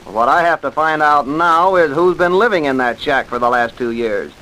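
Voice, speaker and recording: An earnest, determined trooper from a vintage radio play. His voice is bright and forceful and sits a little high, with clipped, methodical delivery over a faintly hissy old recording. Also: expressive, normal speed, poor audio. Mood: intent, puzzled. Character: earnest, dogged young police trooper investigating a killing. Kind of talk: old-time radio drama acting.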